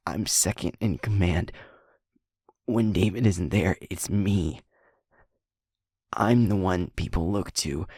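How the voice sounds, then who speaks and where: Man playing a husky, halting young werewolf boyfriend. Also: low-pitched, a little mellow, no room sound, clean studio sound, exaggerated voice. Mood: strained, distressed. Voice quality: breathy, husky, often falling to a whisper. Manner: halting, heavy pauses, confessional. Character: sweet young male werewolf, guilt-ridden second in command of his pack. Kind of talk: intimate audio roleplay acting.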